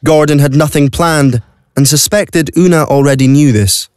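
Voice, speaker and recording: A man's voice: on the high side, normal speed, a little mellow, smooth, no room sound, studio quality. A man reading scripted story narration for an audio play. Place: recording studio.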